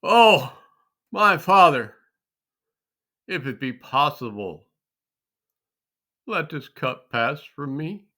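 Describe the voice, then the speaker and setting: Grave, tender male teacher of scripture, acted by a man reading aloud, drawn-out phrases, forceful and clear-edged tone. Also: mid-range voice, full character voice. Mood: anguished pleading. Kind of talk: dramatic scripture storytelling.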